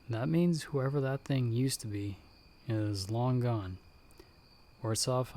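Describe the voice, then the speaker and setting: A man playing a battle-hardened werewolf hunter in an ASMR audio roleplay, speaking directly to the listener in a measured, dryly understated way. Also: medium pitch, darkish tone, natural voice. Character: battle-hardened male werewolf hunter with healing magic, calm mentor.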